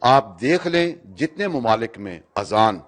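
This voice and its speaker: A man's voice: medium pitch, sing-song, a little slow. A man from the community giving a spoken statement in a news interview.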